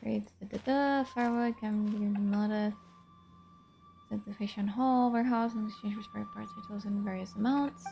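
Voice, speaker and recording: A woman's voice: mid-range voice, fairly even intonation, fairly quick, vocal fry, mellow, a little hiss or hum. A woman streaming a video game, chatting casually, exclaiming and laughing often as she plays. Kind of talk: casual gameplay commentary.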